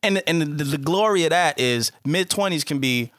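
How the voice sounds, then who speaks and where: A man in his mid-twenties hosting a podcast, chatting casually in quick, animated bursts. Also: on the high side, no echo, clean studio sound. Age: 20s. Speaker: podcast host. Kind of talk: casual chat. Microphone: studio mic.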